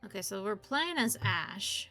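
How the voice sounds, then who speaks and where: Female streamer, lively pitch movement, informal candid playthrough commentary with game music behind. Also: unhurried.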